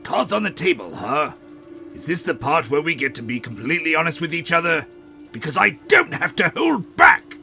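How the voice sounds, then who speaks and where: Manic clown villain, wildly swooping, bright and sharp; comic-dub role, big theatrical delivery. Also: male voice, fairly high pitch, sing-song, moderate pace, creaky, faint music behind, full character voice. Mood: taunting. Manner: theatrical, swings from shouting to hushed. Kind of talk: fan dub of a motion comic.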